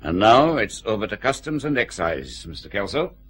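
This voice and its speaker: Brisk police chief. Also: male voice, lowish voice, sing-song, a bit bright, breathy edge, heavily acted. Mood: brisk, commanding. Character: brisk, authoritative senior male police officer. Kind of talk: radio detective drama acting.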